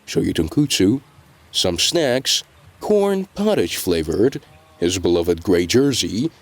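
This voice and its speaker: A man reading scripted dramatic narration in a measured storytelling delivery, with deliberate pauses. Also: low-pitched, sing-song.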